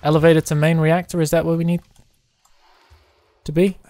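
A man chatting casually and excitably while playing a co-op game, joking and exclaiming as he goes. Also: on the high side, fairly quick, dry recording. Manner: jokey, exclaiming. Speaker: gamer playing a co-op roleplay map. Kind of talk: excitable casual gameplay chat.

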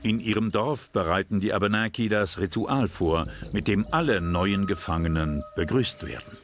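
A man giving scripted documentary narration in a calm, measured, serious way, with music behind. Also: fairly low pitch, noisy background.

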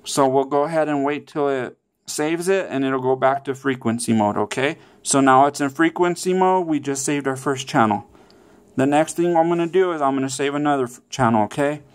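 A man's voice: medium pitch, fairly quick, smooth. A man presenting a casual step-by-step how-to, often checking in with okay and all right. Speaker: tutorial presenter.

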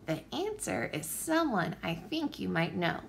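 A woman reading a picture book aloud for young children with expressive, deliberate phrasing. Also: on the low side, creaky, slight background noise. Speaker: children's story reader, teacher.

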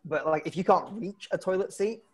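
Halting, self-deprecating British man doing stand-up. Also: high-pitched, husky. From Britain. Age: 30s. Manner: halting, self-deprecating, often chuckling at his own lines. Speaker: stand-up comedian. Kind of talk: stand-up comedy set over video call. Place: indoor room at home. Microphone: wired earphones on a video call.

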